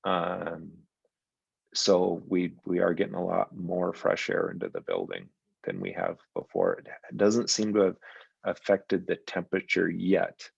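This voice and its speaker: Gravelly low-voiced man on a call. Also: measured pace, no echo, muffled recording. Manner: relaxed, rambling with fillers. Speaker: school principal. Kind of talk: meeting report. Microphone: video call.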